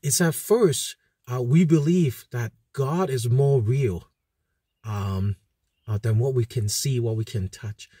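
A man in his thirties giving an informal sermon as a pastor, speaking haltingly with frequent hesitations and repeated words. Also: medium pitch, mellow, slightly rough, dry recording, clean studio sound. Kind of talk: informal recorded sermon with Bible teaching. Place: inside a parked van at night.

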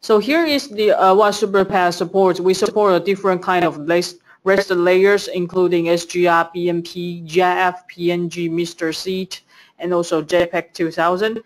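A woman's voice: lowish voice, steady tone, normal speed. Woman host, presenting webinar slides steadily. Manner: reads lists from slides, some fillers.

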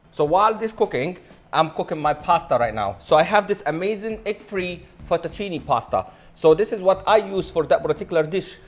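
A man's voice: high voice, a little hiss or hum. A man in his thirties presenting a cooking demonstration as a chef, with an enthusiastic, showy manner. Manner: enthusiastic, showy, addresses audience as a showman. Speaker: chef hosting a cooking show. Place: indoor modern studio kitchen. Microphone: lapel mic.

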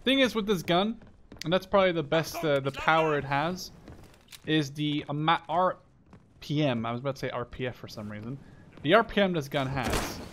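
Young man, higher-pitched, casual game commentary. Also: animated, moderate pace. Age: 20s. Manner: rambling, casually profane. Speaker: gaming video creator. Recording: game gunfire and artillery noise. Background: shooter game gunfire and explosions.

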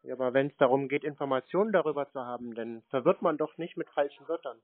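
A man's voice: mid-range voice, fast talker, no echo. A man arguing on a phone call in a contrarian, pedantic manner. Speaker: scam-baiter taking calls. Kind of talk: argumentative phone conversation. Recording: narrow, dull phone line.

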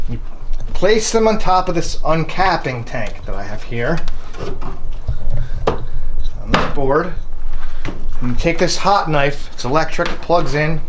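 A middle-aged man, a hobbyist beekeeper, talking plainly through a hands-on demonstration in his garage, relaxed and a bit halting as he works. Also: a little high, background noise. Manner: relaxed, plain-spoken, thinking aloud with restarts. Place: cluttered wooden garage workshop, indoors. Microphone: camera mic, speaker often turned away.

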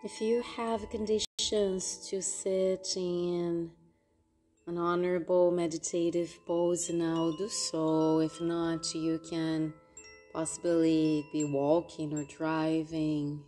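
Slow, soft-toned woman guiding meditation. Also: fairly low pitch, little pitch movement. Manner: gentle, unhurried, with long pauses. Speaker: meditation guide and podcast host. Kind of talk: guided meditation narration. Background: meditation music with soft chimes.

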